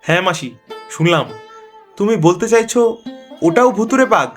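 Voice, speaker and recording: A man's voice: high-pitched, animated, moderate pace, soft background music. A man voicing a troubled cartoon village fisherman, plaintive and argumentative. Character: troubled village fisherman, by turns gloomy and defiant. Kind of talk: animated cartoon dialogue.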